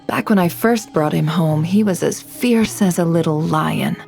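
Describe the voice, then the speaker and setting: A woman's voice: lowish voice, unhurried, vocal fry, a little mellow, soft background music. A woman playing a poised, shrewd young lady who deals in information, composed and knowing, in a video game. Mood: relaxed, fond. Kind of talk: English video game voice acting.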